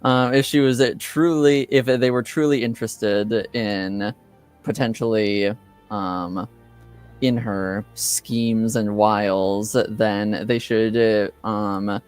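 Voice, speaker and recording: A man running a tabletop game as game master, recapping the story casually in a halting, thoughtful way with long pauses. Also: lowish voice, fairly even intonation, a little mellow, smooth, soft background music.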